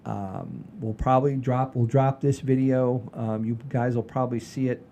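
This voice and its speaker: Mellow man rambling casually, rumbly noise behind. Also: steady tone, normal speed. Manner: rambling, repeats phrases. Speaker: comedy show co-host. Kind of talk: casual chat, addressing the audience. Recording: low rumbling background noise.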